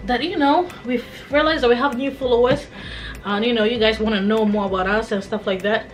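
A woman in her thirties from Ghana, hosting a family vlog at home in an animated, chatty way and checking in with the camera often. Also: fairly high pitch, brisk, soft background music, low-quality recording. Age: 30s. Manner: animated, chatty, frequent "all right?". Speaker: family vlogger host. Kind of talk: casual chat to camera. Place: indoor room at home.

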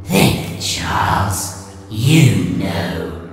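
A man's voice: on the low side, big pitch swings, very slow, exaggerated voice. A man voicing a sinister alien brood queen who speaks in the mind with a menacing, gloating manner, in an audio drama. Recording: heavy echo and long reverb with loud music.

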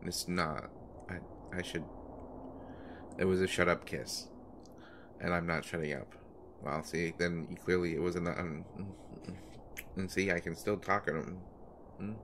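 A man's voice: deep voice, fairly even intonation, unhurried, long pauses. A man playing an anxious, devoted boyfriend of a pregnant woman in an intimate roleplay audio, speaking in a hesitant, stammering way. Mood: playful, flustered. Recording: noticeable background noise.

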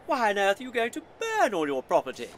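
A woman's voice: medium pitch, wildly swooping pitch, fast, slight background noise. A woman with a British accent playing an earnest, polite, nosy male neighbour in an audio comedy play. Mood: puzzled.

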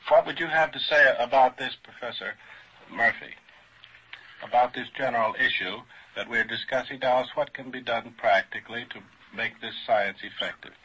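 A man moderating a formal scientific panel in a mid-century radio style, deliberate and courteous. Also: medium pitch, sharp tone, a little hiss or hum. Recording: dull vintage radio recording.

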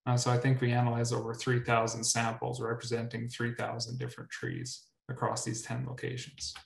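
Young male researcher, mid-pitch, webinar delivery, stumbles and repeats, roomy webcam sound. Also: lively intonation, fairly quick, creaky. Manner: repeats words often, many ums. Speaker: researcher presenting at a grower meetup. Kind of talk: webinar presentation. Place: indoor room. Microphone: webcam on video call. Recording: roomy echo.

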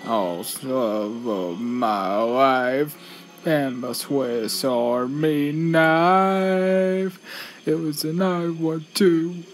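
A woman voicing a big lovelorn male bear who croons mournfully in drawn-out phrases, in an amateur cartoon-style stage show. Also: sits low, steady tone, soft background music, pitched below the performer's own voice. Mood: lovelorn crooning.

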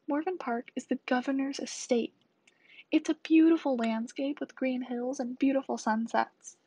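High, soft-husky young woman. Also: no room sound. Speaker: student storyteller. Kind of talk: first-person scripted monologue as a 1920 stable groom.

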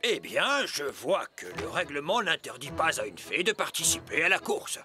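Vieil elfe solennel, très expressif. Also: male voice, fairly high pitch, huge pitch swings, moderate pace, sharp tone, exaggerated voice. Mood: ceremonious. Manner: ceremonious announcing. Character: old bearded wise elf, pompous but kindly official. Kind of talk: cartoon dubbing. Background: music.

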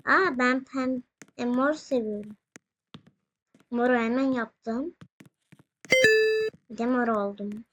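A woman's voice: a little high, slow pace, pauses often, muffled recording. A young female gaming host giving playful, casual commentary in a sing-song, exclaiming manner. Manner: sing-song, exclaiming, humming nonsense syllables. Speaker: young gaming video host playing alongside parent. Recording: very noisy home recording with clicks.

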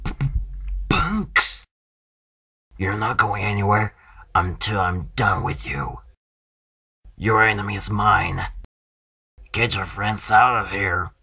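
A man's voice: lowish voice, lively intonation, slow, long pauses, pushed and bright, breathy edge. A man acting out voice clips as a brash, wisecracking masked superhero for an amateur fan cartoon. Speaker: amateur voice actor.

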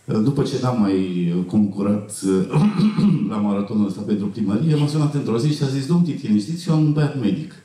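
Echoey, low-voiced middle-aged man on stage. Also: dark tone. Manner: halting, frequent throat-clearing. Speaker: film actor at festival Q&A. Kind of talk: anecdotal speech to an audience. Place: festival auditorium stage. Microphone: handheld mic, heard from far camera. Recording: echoey hall, distant camera pickup.

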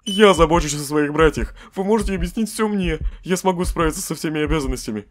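A woman's voice: deep voice, fast talker, a little hiss or hum, close to an everyday voice. A woman voicing a protective, casual older skeleton brother in a comic dub. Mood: responsible. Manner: casual, reassuring.

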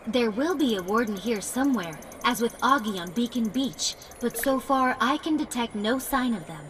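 A woman's voice: fairly high pitch, lively intonation, normal speed, background noise, natural voice. A woman voicing an analytical, matter-of-fact artificial intelligence companion in a video game, precise, dry and formal. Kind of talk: scripted video game exposition.

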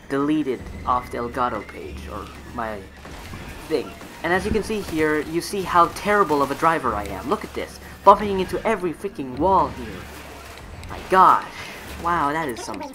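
A young man giving casual, rambling, self-mocking voiceover commentary on his own gameplay clips. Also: fairly high pitch. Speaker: gaming video creator. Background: game music.

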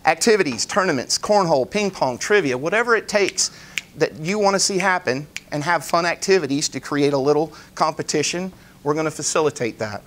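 A man in his forties presenting a business pitch live in a meeting room, plain-spoken, in short emphatic phrases. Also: fairly quick, a bit bright, slight background noise. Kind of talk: informal live presentation with slides. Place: indoor meeting room, standing at a lectern.

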